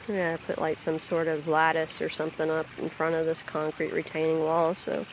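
A vlogger speaks outdoors into a handheld phone in a backyard. The voice is gravelly, with plenty of vocal fry. The talk is loose and rambling, with frequent ums and restarts, over a faint background hiss. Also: mid-range voice, low-quality recording. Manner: rambling, hesitant with many ums. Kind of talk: casual spoken vlog. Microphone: phone held by the speaker.

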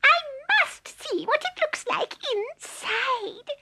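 A woman voicing a tiny, kind, eager female storybook mouse in a squeaky, exclaiming manner. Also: expressive, slow, pushed and bright, a little hiss or hum, exaggerated voice. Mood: curious, delighted. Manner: squeaky, wide-eyed exclaiming.

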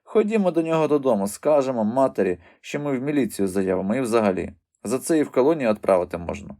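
A man's voice: mid-range voice, animated, fairly quick, breathy edge. A man voicing a determined, hot-tempered young girl in an audiobook, confident and accusing. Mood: indignant. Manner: forceful, confident, indignant.

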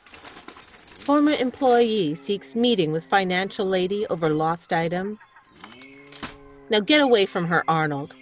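A woman's voice: medium pitch, animated, measured pace, long pauses, lightly acted. A woman playing a fierce, cool-headed rescuer in a radio mystery play. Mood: cold, commanding.

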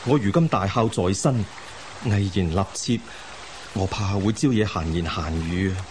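A lovesick, guilt-laden scholar-official in a radio play. His voice is on the low side, formal and full of sighs. Running water noise is clearly heard behind him. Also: measured pace, a little mellow. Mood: troubled, earnest. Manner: sighing, earnest, formal. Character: remorseful lovesick scholar-official of old China. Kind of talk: Cantonese radio drama acting.

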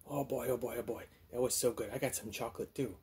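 A man voicing a friendly, childlike little monster puppet, excitable and easily flustered, exclaiming in repeated phrases. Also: medium pitch, fast talker, husky, pitched above the performer's own voice. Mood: excited. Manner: repetitive, wide-eyed exclaiming. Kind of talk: children's puppet show skit.

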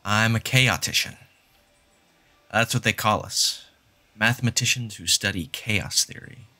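A man's voice: on the low side, measured pace, bright, forceful tone, heavily acted. A man playing a sardonic, lecturing mathematician. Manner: explains patiently, emphatic and insistent. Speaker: fan voice actor. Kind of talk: fan audio drama acting.

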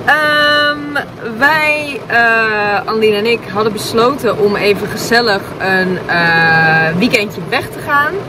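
A woman's voice: on the high side, slow pace. A woman in her thirties chatting casually into her phone for a vlog, full of fillers and restarts, first from a car and then outdoors in the rain. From Netherlands. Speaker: vlogger. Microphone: phone held at arm's length. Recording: phone audio with rain and traffic noise.

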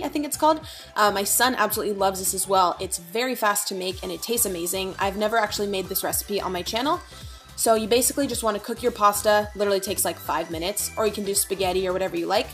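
Young woman, chatty vlogger, upbeat, casual fillers, soft music underneath. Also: medium pitch. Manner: chatty, upbeat, lots of "like" and "you guys". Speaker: lifestyle vlogger. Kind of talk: casual try-on and workout vlog narration.

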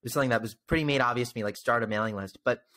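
A man's voice: mid-range voice, rapid-fire, sharp tone. A young man hosting a casual podcast interview, speaking close to a desk microphone. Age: young adult. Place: indoor room, on a video call. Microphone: desk microphone close in front.

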